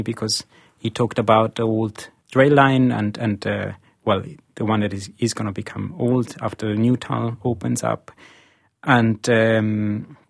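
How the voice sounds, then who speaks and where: A man's voice: mid-range voice, creaky. A man from Italy, a guest in a studio interview, speaking in a hesitant way as he searches for words and recalls his experience. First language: Italian. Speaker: guest, video journalist. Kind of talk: casual recollection in interview.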